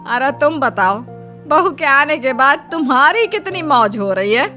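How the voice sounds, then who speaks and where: A woman's voice: high-pitched, lively intonation, measured pace, over music. A woman playing an exasperated, scheming middle-aged mother-in-law in a Hindi cartoon story, with a dramatic, complaining delivery. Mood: wry.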